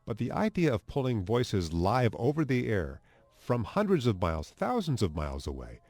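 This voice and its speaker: A man's voice: medium pitch, huge pitch swings, moderate pace, a little mellow, dry recording. An American man giving expert interview commentary, with an emphatic manner that builds phrases by repetition. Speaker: radio anchorman, expert interviewee.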